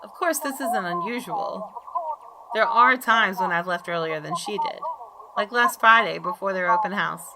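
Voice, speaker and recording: A woman reading visual novel story text aloud in a measured, expressive, deliberate way. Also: mid-range voice. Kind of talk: dramatic narration of story text. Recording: clearly noisy background.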